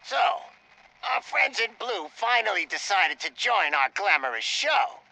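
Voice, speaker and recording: A man's voice: high-pitched, very animated, unhurried, sharp tone, full character voice, poor audio. An actor playing a flamboyant, mocking male gang leader with a smug, theatrical delivery.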